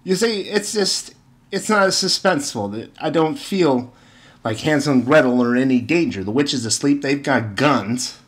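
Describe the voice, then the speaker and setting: A man's voice: medium pitch, animated, moderate pace. A man giving informal opinion commentary and retelling stories, with exasperated, sarcastic emphasis and rhetorical questions. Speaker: video commentator.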